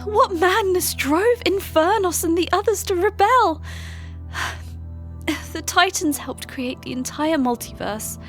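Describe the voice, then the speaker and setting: A woman playing a grieving, gentle healer in a fantasy audio drama, earnest and emotional in formal speech. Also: extremely high pitch, lively intonation, takes it slowly, soft background music, exaggerated voice. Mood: anguished, questioning. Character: grieving female healer warrior, gentle, questioning, anguished.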